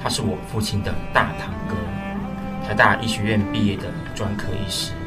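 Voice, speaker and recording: A man's voice: on the low side, fairly even intonation, brisk. A man reading scripted narration for a radio drama. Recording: distant voice under loud music.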